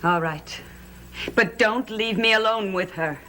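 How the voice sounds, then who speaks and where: A woman's voice: medium pitch, unhurried, bright, forceful tone, a little hiss or hum. An actress playing a fearful, wary psychic woman in a gothic television soap, speaking in a grave, dramatic manner. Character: fearful, wary psychic woman who lost her powers. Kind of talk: 1970s gothic TV soap opera acting. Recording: old TV soundtrack with music underneath.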